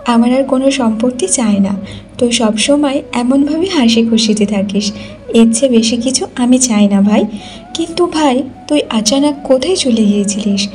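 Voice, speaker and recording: A woman's voice: fairly high pitch, animated, normal speed, a little mellow, natural voice. A woman voicing a worried, loving elder sister in a cartoon story. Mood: tender, relieved.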